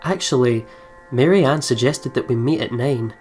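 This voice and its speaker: A man's voice: medium pitch, normal speed, a little mellow. A man doing let's play commentary and reading a game's text aloud in a chatty, reactive way. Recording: music behind the voice. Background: game music.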